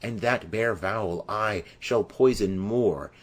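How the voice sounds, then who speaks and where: A man's voice: on the low side, slow. A man voicing an impassioned, lovesick young noblewoman in declamatory Shakespearean verse for an audiobook. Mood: anguished. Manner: declamatory, poetic.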